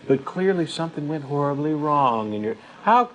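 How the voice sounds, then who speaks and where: A man's voice: fairly high pitch, expressive, normal speed, a bit bright, low-quality recording. A man co-hosting a talk show, giving blunt opinions in casual, unscripted discussion.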